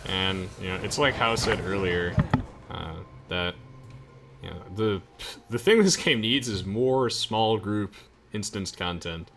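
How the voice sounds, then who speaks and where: A man's voice: lowish voice, expressive, slow, fairly bright tone, a little hiss or hum. A young man giving casual, chatty, enthusiastic game commentary as host. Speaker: host of a let's play.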